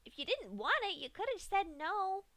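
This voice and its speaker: A woman's voice: very high-pitched, sing-song, normal speed, bright, forceful tone, dry recording, pitched above the performer's own voice, exaggerated voice. A woman voicing a giddy, sadistic female pink pony who talks in a chirpy, singsong way. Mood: pouty. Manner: chirpy and singsong, cheerfully menacing.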